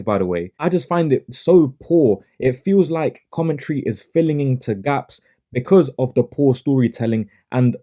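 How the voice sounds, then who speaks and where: A man's voice: mid-range voice, mellow. A young man, not a native English speaker, giving a blunt, sarcastic solo review of wrestling shows.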